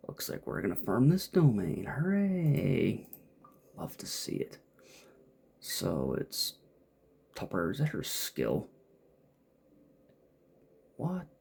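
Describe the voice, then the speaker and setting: A man's voice: lowish voice, huge pitch swings, slow pace, gravelly, long pauses, a little mellow, slightly rough. A man giving casual, off-the-cuff gameplay commentary, muttering and thinking aloud to himself as he plays. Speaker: gamer playing and commenting.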